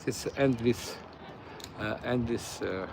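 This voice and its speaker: Brief: man, Ukrainian accent, unhurried halting tour-guide delivery, mid-pitched, faint street noise outdoors. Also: creaky. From eastern Ukraine. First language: Russian. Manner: halting, many fillers and restarts. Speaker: city walk streamer. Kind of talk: live-streamed walking tour. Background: faint street and traffic noise.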